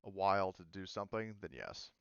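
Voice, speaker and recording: Low-voiced man game master, smooth and dry. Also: very animated, brisk, a bit bright, no echo, highs cut off. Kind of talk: casual rules explanation during online tabletop game.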